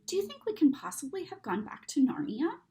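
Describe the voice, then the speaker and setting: A woman's voice: sits high, wildly swooping pitch, brisk, dark tone, pitched above the performer's own voice. A woman voicing an earnest, wide-eyed young schoolgirl in a storybook, given to exclaiming. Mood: amazed.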